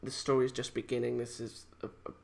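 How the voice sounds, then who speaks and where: A man giving a casual, unscripted review in a halting, hesitant, rambling way, often correcting himself as he goes. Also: medium pitch, completely flat delivery, vocal fry. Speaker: amateur video game reviewer.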